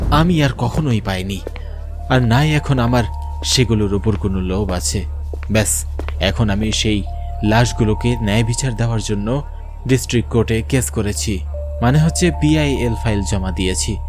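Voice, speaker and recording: A man's voice: on the low side, moderate pace, pauses often, over music. A man narrating a scripted first-person horror story in a grave, dramatic way.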